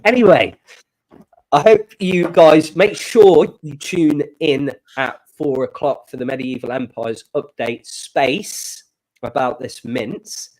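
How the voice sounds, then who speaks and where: A man co-hosting a live stream in casual chat, his voice oddly high and squeaky from a glitch, with a blocked nose, making cheerful, rambling announcements. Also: slow, a little mellow, low-quality recording. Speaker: stream co-host. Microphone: desk microphone.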